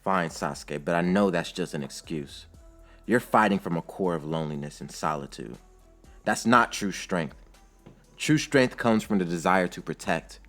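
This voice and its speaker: A man's voice: low voice, normal speed, a bit bright, soft background music. A man voicing an earnest, loyal, hot-headed young ninja with an emphatic delivery in an anime-style story. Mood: earnest resolve.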